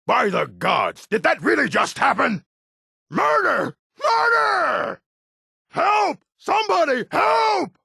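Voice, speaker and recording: An actor playing a gruff, combative male orc townsman in a video game, with a rough, husky voice and a blunt, curt way of speaking. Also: very high-pitched, very animated, slow pace, sharp tone, dry recording, raised voice, studio quality, exaggerated voice. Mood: panicked. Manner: blunt, curt, threatening delivery. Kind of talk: video game character barks.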